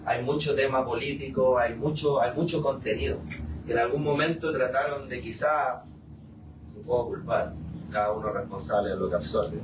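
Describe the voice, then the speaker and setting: A man speaking reflectively in a group discussion, repeating phrases for emphasis as he leads the talk. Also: mid-range voice. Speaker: musician leading a discussion. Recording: echoey room, distant mic, clear noise.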